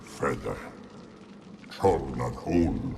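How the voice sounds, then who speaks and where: An actor playing a dim-witted, hot-tempered troll in a fantasy video game, growling in broken, childlike grammar. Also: male voice, a bass voice, expressive, extremely slow, gravelly, noisy background, exaggerated voice. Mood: grumpy, confused. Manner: broken, childlike grammar with blunt grunted phrases.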